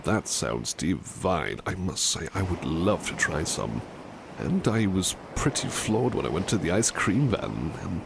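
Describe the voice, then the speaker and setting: A devoted, polite butler, played by a man, speaks to the listener in an ASMR roleplay. His voice is lowish and creaky, with very lively intonation. He gushes with curious delight in genteel, halting phrases. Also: background noise. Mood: delighted, wondering. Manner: genteel, effusive, halting with repeated words.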